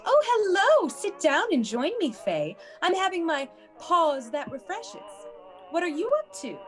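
A woman's voice: very high-pitched, sing-song, measured pace, exaggerated voice. An actress playing a wry, meddling former house mother in a classic radio play, arch, knowing and theatrical. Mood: amused. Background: music behind the voice.

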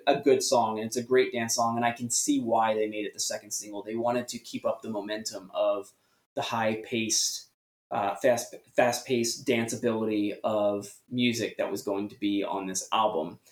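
A man from the US, a podcast guest talking about music in a measured, thoughtful way with some fillers. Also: fairly low pitch. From United States. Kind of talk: casual music discussion. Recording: echoey room.

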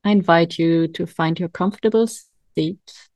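Female meditation guide, lowish and very unhurried, calm and soothing, leaving long pauses, close dry sound. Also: no room sound. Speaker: meditation coach.

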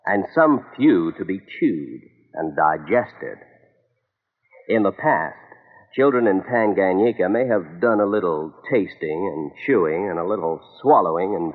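An American man reading a scripted 1960s public service radio piece in an earnest, measured announcer style. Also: deep voice. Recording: muffled reverberant old radio recording.

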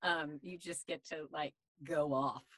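A woman voice actor with a deep voice, talking candidly and chattily in an interview, with many fillers. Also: fairly even intonation, a little slow, fairly bright tone, dry recording. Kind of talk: casual interview chat.

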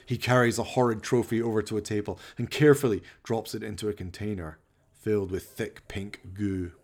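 A man narrating a scripted horror radio play in a theatrical, ominous, deliberate manner. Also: fairly low pitch, steady tone, slight background noise.

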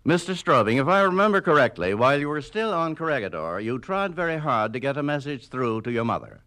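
A man's voice: mid-range voice, very animated, fast talker, pushed and bright. A man interviewing in a formal 1940s radio style, with a dramatic, emphatic announcer's delivery.

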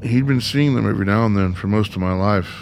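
Guy playing a bitter blunt son in a radio drama, lowish voice, bit of noise behind. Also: fast talker, gravelly, a little mellow. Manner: blunt, sardonic. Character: bitter, sarcastic, foul-mouthed son of an ailing father. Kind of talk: radio play acting.

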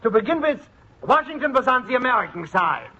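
Jolly, animated class clown. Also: male voice, extremely high pitch, brisk. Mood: joking. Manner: clownish, mock-innocent. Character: jolly wisecracking immigrant night-school pupil, adult man. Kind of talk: old-time radio comedy acting. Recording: noisy, dull vintage radio recording.

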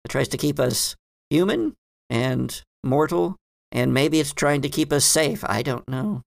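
A man voicing a cryptic, hesitant librarian woman who explains hidden lore in a halting, musing way in a tabletop game. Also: a little high, creaky, no room sound, studio quality, pitched above the performer's own voice. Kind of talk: improvised tabletop roleplay.

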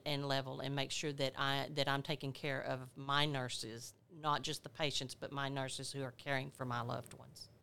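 Woman with a gravelly, bright voice. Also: extremely low pitch. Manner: halting, frequent "uh" restarts while recalling details. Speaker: nurse educator and health advocate. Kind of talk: conversational podcast interview.

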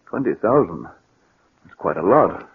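A man's voice: lowish voice, very animated, unhurried, vocal fry, breathy edge, heavily acted. A man playing a guilt-ridden, trusting businessman in a 1940s radio thriller. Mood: surprised. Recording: old tinny band-limited radio recording.

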